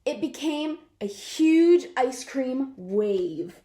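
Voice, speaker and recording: A woman reading a picture book aloud to children in an expressive way, drawing out words for effect. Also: high voice, very slow. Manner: animated, draws out words for effect. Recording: roomy, echoing sound.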